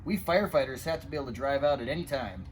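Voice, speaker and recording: A man's voice: medium pitch, racing, slight background noise, voice pushed down, close to an everyday voice. A man voicing a polite but firm storybook fire chief in an emphatic, instructive manner.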